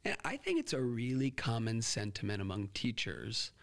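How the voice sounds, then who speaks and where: A young man hosting a podcast interview, telling stories with lively acted-out quotes. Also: medium pitch, normal speed, creaky. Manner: animated storyteller, acts out quotes. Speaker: podcast host.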